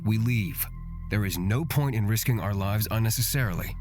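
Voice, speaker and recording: A man's voice: on the low side, normal speed, over music, lightly acted. A man playing a cautious, blunt and easily exasperated companion in a fantasy visual novel. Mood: stern. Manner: blunt, stern. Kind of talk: visual novel character acting.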